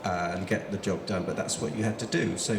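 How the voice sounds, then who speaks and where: A man's voice: low-pitched, fairly quick, gravelly. A middle-aged man moderating a conference panel, talking in a rambling way with lots of fillers. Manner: rambling, hesitant, many fillers. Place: large conference hall. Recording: echoey hall with background noise.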